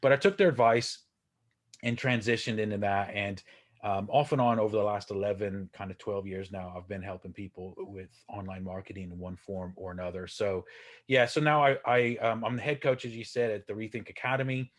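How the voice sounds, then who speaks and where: A man in his forties, from Texas, talking as a guest on a podcast. His voice sits low, and he rambles in a friendly, self-deprecating way, full of ums, uhs and restarts. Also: steady tone, brisk. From Texas, USA. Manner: chatty, self-deprecating, many fillers and restarts. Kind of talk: casual interview talk.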